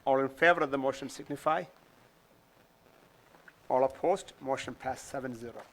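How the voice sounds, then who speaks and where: A man chairing a town council meeting, calling motions and votes in a measured, procedural way. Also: medium pitch, a bit bright, poor audio.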